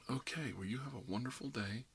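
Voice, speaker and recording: A man's voice: mid-range voice, fast, slightly rough. A man playing a chatty, friendly dentist in an improvised ASMR roleplay, talking in a hesitant, rambling way that drops into half-whispers. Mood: cheerful, polite. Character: chatty, friendly emergency dentist treating monsters, mildly bigoted. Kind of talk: ASMR roleplay, improvised one-sided conversation.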